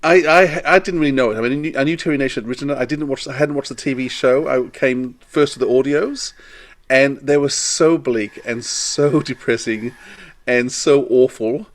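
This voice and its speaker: A man co-hosting a podcast in casual conversation, thinking aloud in a halting way. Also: medium pitch. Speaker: podcast co-host.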